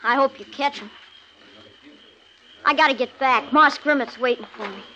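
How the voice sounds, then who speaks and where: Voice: high voice, moderate pace, sharp tone, lo-fi sound, slight background noise. A performer playing a plucky, earnest frontier child in a 1950s radio western.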